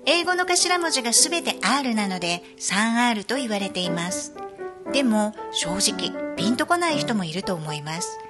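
A woman's voice: on the high side, big pitch swings, moderate pace. A woman giving scripted narration for an educational storybook, with music behind her. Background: background music.

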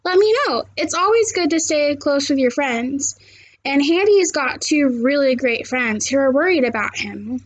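A woman's voice: high-pitched, lively intonation, moderate pace, poor audio. A young adult woman reading a children's novel aloud like a teacher and addressing young listeners in simple, direct words. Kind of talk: read-aloud of a children's novel with chat to viewers. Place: small living room, seated on a couch indoors.

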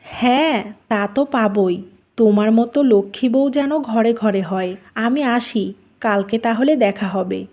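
A woman's voice: a little high, a little slow, darkish tone. A woman voicing an appreciative older mother-in-law or auntie in a cartoon story. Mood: praising. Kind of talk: Bengali cartoon story dubbing.

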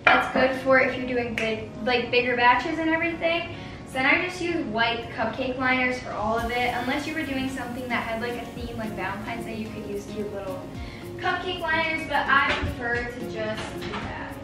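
A woman's voice: a little high, moderate pace. A teenage girl chatting casually as she walks through a baking tutorial in her home kitchen, explaining each step as she works. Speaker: baking vlogger. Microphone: far camera on the counter. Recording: roomy kitchen echo, distant mic, music behind.

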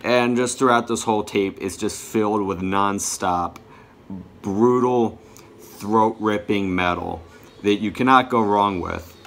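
Slow-talking young man, low voice. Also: a bit bright. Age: young adult. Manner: halting, self-correcting. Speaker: vlogger showing a music collection. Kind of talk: casual show-and-tell to camera. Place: small bedroom, indoors.

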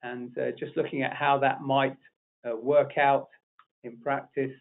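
Middle-pitched man, halting webinar presenter, tinny phone-line sound. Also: level tone, gravelly. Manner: halting, many fillers and restarts. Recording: narrow phone-like audio.

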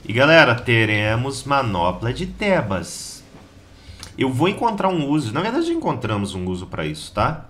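A slightly hoarse Brazilian man giving a casual, slangy gameplay guide as host of a gaming channel. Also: mid-range voice, wildly swooping pitch, moderate pace, fairly bright tone. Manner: slangy, informal, chatty. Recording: keyboard clatter and background noise.

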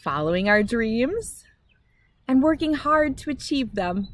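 A middle-aged American woman hosting a children's read-aloud, speaking directly to young listeners with expressive, clear phrasing. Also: fairly high pitch. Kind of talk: children's picture-book read-aloud with friendly direct address.

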